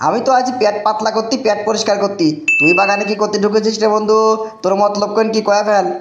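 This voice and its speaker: A man voicing a brash, excitable young villager in a comic cartoon, blustering and repeating himself in a dialect. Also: high-pitched, fast, highs cut off, lightly acted. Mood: prying. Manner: exclamatory, repetitive, blustering. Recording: roomy echo, clearly noisy background.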